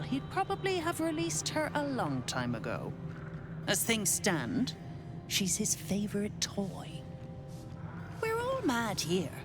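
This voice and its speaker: An actress playing a sly, worldly female devil in a video game, speaking in a mocking, knowing, breezy way. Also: mid-range voice. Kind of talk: video game character acting. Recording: game music behind. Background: music.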